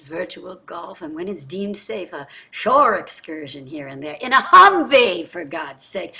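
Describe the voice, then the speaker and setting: A wealthy older wife in a stage drama, played by an actress. Her voice is bright and cutting, mid-pitched for a woman. She is weary and sardonic, and lands her points with dramatic wryness. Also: heavily acted. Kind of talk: staged play reading.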